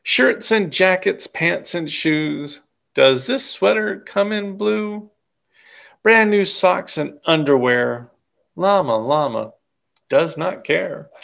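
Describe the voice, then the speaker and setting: A middle-aged man reading a children's story aloud in an expressive, deliberate way. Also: high voice, creaky. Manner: animated, deliberate. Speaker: picture book reader.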